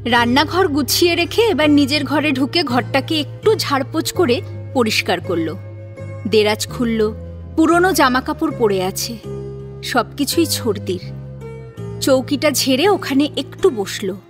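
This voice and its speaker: A female narrator tells a dramatic audio story in a high voice. Faint music runs underneath her scripted reading. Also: lively intonation, measured pace.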